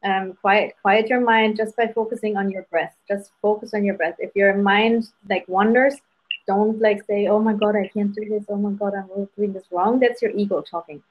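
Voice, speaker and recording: A woman with a German accent talks easily over a video call, leading a meditation and giving readings. Her voice sits at a middle pitch, and the sound is a little dull and lo-fi. She pads her sentences with "um" and "like". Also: moderate pace. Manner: relaxed, many fillers like "um" and "like". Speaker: spirit guide medium hosting live readings. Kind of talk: casual guided meditation and readings.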